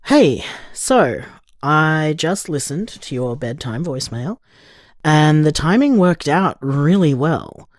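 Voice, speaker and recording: A man's voice: a little high, very animated, takes it slowly, no room sound. An actor playing an affectionate, easygoing, thoughtful man, musing casually in a naturalistic audio drama voicemail. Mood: relaxed, fond.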